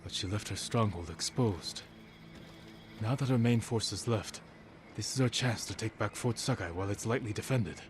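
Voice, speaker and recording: Male actor as samurai hero, lowish voice, stoic, grave, measured, resolute, dramatic game acting. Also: a little hiss or hum, lightly acted. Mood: grave, determined. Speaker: samurai protagonist.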